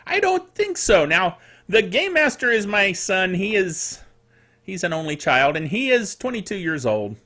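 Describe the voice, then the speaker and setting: Animated, high-pitched middle-aged man with dry throat. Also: unhurried. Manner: playful, quirky, theatrical asides. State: dry, slightly rough throat. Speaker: host of a tech news show. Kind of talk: chatty solo tech news commentary.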